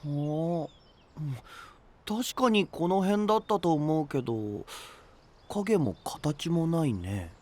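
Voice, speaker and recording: Easygoing, expressive young knight. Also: male voice, fairly high pitch, takes it slowly. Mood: puzzled, dry. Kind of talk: anime-style voice drama.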